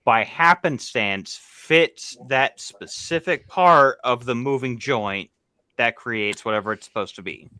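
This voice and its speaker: A man's voice: mid-range voice, unhurried, sharp tone, smooth, dry recording. A man chatting as a panelist on a livestream, often restarting and repeating his phrases. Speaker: panelist on a toy review livestream. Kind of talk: casual group discussion. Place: online livestream call.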